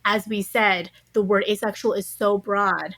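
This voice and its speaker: A woman's voice: medium pitch, steady tone, unhurried, fairly bright tone, no echo. Woman podcast guest talking thru ideas, articulate n conversational, very dry recording. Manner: articulate, explanatory, often checks with "you know" and "right?". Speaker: podcast guest, author interviewed on a book. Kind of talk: conversational interview explanation.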